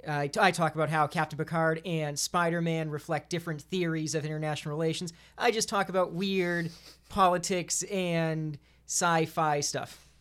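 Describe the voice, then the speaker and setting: A man's voice: on the high side, fairly even intonation, normal speed, fairly bright tone, clean tone. A man speaking casually as a podcast guest, an academic explaining his opinions in informal chat.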